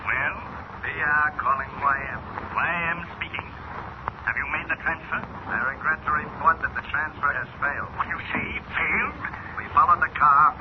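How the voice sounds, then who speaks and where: A man's voice: a little high, moderate pace, natural voice. Menacing, formal gang villain male actor, terse reporting, old radio drama with dull, hissy sound. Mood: grim. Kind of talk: 1940s radio serial acting. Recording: tinny, noisy old radio recording.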